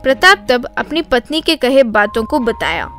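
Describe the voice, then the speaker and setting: A woman's voice: fairly high pitch, big pitch swings, normal speed, a bit bright, soft background music. A woman narrating a scripted children's story as the storyteller.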